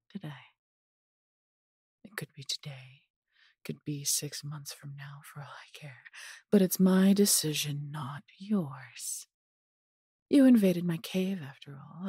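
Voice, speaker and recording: A woman voicing a possessive, haughty yet doting female dragon in an intimate audio roleplay, condescending and theatrical, breathy and husky, often dropping to a whisper. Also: low voice, a little mellow, no room sound, clean studio sound, full character voice. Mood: haughty, coaxing. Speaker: voice actor.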